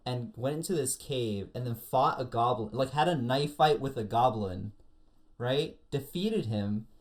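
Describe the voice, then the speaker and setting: Male podcast co-host, mid-pitched voice, animated storyteller with comic exaggeration, casual chat. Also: unhurried. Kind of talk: casual comedic podcast chat about anime.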